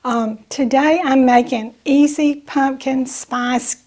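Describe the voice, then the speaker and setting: A woman with a Southern US accent and a slightly raspy, bronchial voice, chatting casually as she talks through a home cooking demonstration. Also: high voice, steady tone, slow, a little mellow. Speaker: host of a home cooking channel.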